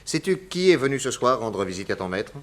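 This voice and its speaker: A man's voice: medium pitch, very animated, brisk, natural voice. A man playing a composed, probing private detective in a 1960s French radio drama. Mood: inquisitive.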